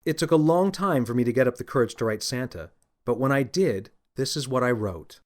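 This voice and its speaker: Casting: male storyteller, medium pitch, expressive and dramatic first-person narration for children's audiobook. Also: brisk. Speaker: author narrating own audiobook.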